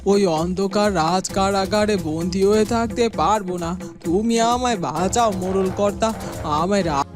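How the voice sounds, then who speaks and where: A man plays a cowardly cartoon minister in a high male voice. He pleads in panic, with music clearly behind him. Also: unhurried. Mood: panicked. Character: panicky cowardly village minister begging for rescue.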